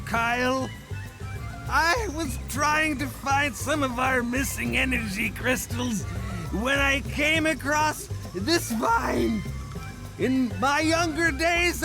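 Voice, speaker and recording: A man playing a doddering, kindly and excitable elderly superhero in a cartoon game, his voice creaky and quavering. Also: very high-pitched, exaggerated voice, low-quality recording. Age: older. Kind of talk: animated game cutscene acting. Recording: prominent game music behind.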